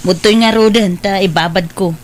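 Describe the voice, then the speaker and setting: A woman playing a kindly, caring mother in an audio drama, gentle and solicitous, with a Filipino accent. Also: medium pitch, moderate pace, lightly acted. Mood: caring, motherly.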